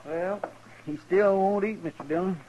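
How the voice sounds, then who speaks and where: A man's voice: high voice, normal speed, a little mellow, natural voice. A man acting a loyal, anxious frontier deputy in an old-time radio western, talking in folksy country style. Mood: worried. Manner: folksy, twangy country talk. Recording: noisy old radio drama recording.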